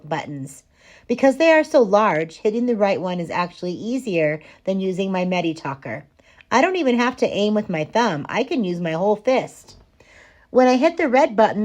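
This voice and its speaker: A woman narrates a children's novel in the first person, reading it out as a teacher does for a class. Her voice sits at a middling pitch for a woman. The delivery is that of an audiobook read for young listeners. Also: darkish tone.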